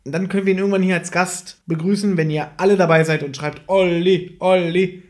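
A man hosts a fan podcast from home with a slightly high voice. His delivery is casual and humorous, like relaxed talk with a friend over a shared microphone. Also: brisk. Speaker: podcast host and audio drama fan. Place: living room at home. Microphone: one microphone shared with co-host.

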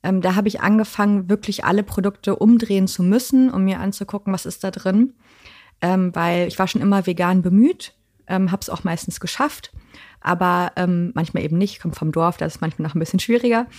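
A woman's voice: mid-range voice, darkish tone. Chatty woman founder, lots of fillers. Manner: chatty, many fillers, quick asides. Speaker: founder and podcast guest. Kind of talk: casual interview chat.

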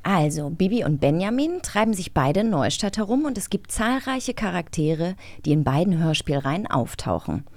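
Lively woman podcaster, close dry sound. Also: lowish voice, normal speed, hardly any pauses, no room sound. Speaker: podcast co-host. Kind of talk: casual, playful podcast chat.

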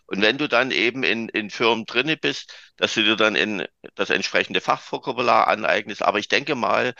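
A man's voice: on the low side, vocal fry, sharp tone, dry recording. A man hosting a podcast who chats and tells anecdotes to add to the conversation. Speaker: podcast host. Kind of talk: informative podcast talk. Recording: dull lo-fi sound.

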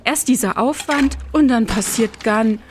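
A woman's voice: on the high side, moderate pace, soft background music, lightly acted. An actress playing a determined, edgy woman in an amateur science fiction audio drama. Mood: frustrated. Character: determined, edgy woman searching for her identity. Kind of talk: hobby sci-fi audio drama acting.

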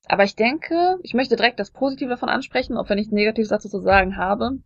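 A woman's voice: on the high side, fast, no room sound. Woman chatting, dry muffled sound. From Germany. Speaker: podcast co-host. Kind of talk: casual conversational podcast talk with personal anecdotes.

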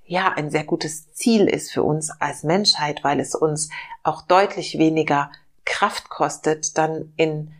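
Lady with a bit of a cold, lowish voice, musing gently, lots of ums. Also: slow. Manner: gentle, searching, with many fillers and restarts. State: slightly hoarse from a cold, clears throat. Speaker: podcast host. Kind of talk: reflective self-help monologue.

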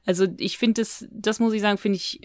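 A woman speaks in a very dry recording with no room around her voice. She sounds like a podcast co-host in casual discussion, opinionated and emphatic, with a medium-pitched voice. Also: fairly even intonation, brisk, dry recording.

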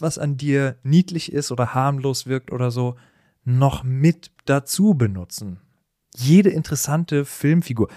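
A man co-hosting a casual, humorous podcast conversation, explaining things in an animated way and often chuckling as he talks. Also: a little high, no room sound, clean studio sound. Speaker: podcast co-host.

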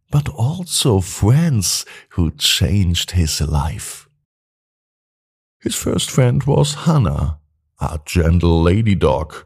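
A man with a deep, mellow voice tells a children's bedtime story. His delivery is gentle and deliberate, with pitch that rises and falls in a very lively way. Music plays behind him. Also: gravelly, breathy edge. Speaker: bedtime story podcast narrator.

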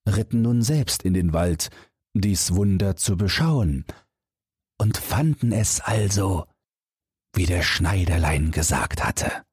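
Deep, husky male storyteller, slow and expressive. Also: no echo, clean studio sound. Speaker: audiobook narrator. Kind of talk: scripted fairy tale narration for bedtime.

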